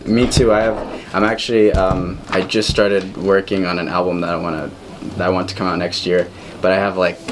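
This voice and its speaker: A young man in his early twenties with a lowish voice chats as a radio guest. He is laid-back and slangy, with faint noise behind him. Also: flat delivery, brisk. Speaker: guest singer-songwriter. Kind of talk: casual chat. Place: local radio studio.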